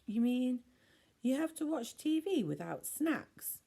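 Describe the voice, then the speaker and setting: A woman's voice: high voice, sing-song, slow pace, vocal fry, dark tone, breathy edge, voice pushed up, exaggerated voice. A woman voicing a bossy, fearless young girl with a demanding manner in a children's story. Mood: whining.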